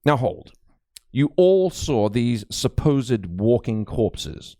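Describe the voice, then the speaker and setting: A man playing a cool, skeptical medieval investigator in an audiobook, speaking in a measured, halting, businesslike way. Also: medium pitch, sing-song, dark tone, no room sound, clean studio sound. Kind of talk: dramatic audiobook character acting.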